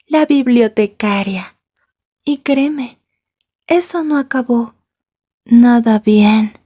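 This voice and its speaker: A woman playing a possessive, jealous yandere schoolgirl in an ASMR roleplay, soft and breathy, taunting and slipping into whispers. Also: a little high, full character voice. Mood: sinister, taunting.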